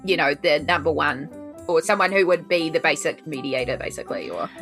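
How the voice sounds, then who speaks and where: A woman chatting casually as a player in a tabletop role-playing game, reasoning out loud in an informal way. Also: sits low, expressive, brisk. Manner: informal, thinking aloud. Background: background music.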